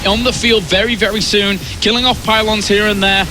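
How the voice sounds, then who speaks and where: A man casting an esports match with quick, excited play-by-play. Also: sits high, music in the background. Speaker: esports caster. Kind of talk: play-by-play commentary.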